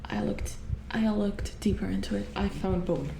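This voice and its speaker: A woman playing an uneasy robot repair engineer in a soft-spoken horror ASMR roleplay, hesitant and stammering, often dropping to a whisper. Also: mid-range voice, moderate pace, vocal fry, close to an everyday voice. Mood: shaken, horrified. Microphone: close ASMR microphone. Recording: roomy echo, light background noise.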